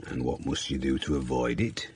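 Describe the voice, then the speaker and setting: A very deep, stern Victorian schoolmaster. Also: male voice, level tone, slight background noise. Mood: cold, probing. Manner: cold, deliberate interrogation. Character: stern, severe, pious school master in Victorian England. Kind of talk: period radio drama acting.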